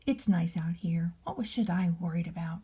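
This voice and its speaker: A naive young storybook boy, acted by a woman reader, wondering aloud to himself. The voice is mellow and soft-edged, inquisitive and innocent. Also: lowish voice, lively intonation, moderate pace, slightly rough. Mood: cautiously bold.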